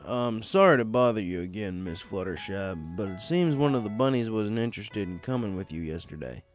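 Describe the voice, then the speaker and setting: Guy as a big farm horse fella, lowish voice, slow n polite, storybook. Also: lively intonation, soft background music, lightly acted. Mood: polite, calm. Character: laconic, polite big male farm pony.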